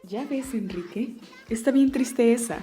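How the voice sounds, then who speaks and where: A woman playing a gentle, fretful mother in a fan-dubbed audiobook, soft and motherly. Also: on the high side, measured pace, soft background music, natural voice.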